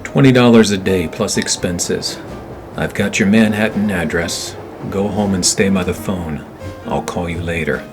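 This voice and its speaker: A low-pitched, weary private eye from a 1940s noir radio drama. He tells his case and trades wisecracks in a deadpan way, with music clearly playing underneath. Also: measured pace. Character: weary, wry 1940s hard-boiled male private eye. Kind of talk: noir radio play acting with first-person narration.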